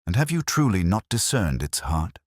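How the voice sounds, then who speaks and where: A coolly rational Victorian detective read by a male narrator speaks with great authority in an audiobook. The voice is extremely low and dry, with formal, precise phrasing and lively movement in pitch. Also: normal speed, dry recording. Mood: calm, certain. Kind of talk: audiobook character dialogue.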